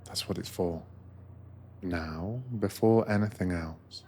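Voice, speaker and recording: Male, low-pitched and very slow, for gentle ASMR roleplay as a soothing train attendant. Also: slightly rough. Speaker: narrator playing a sleeper train attendant. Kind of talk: ASMR guided meditation roleplay, scripted narration.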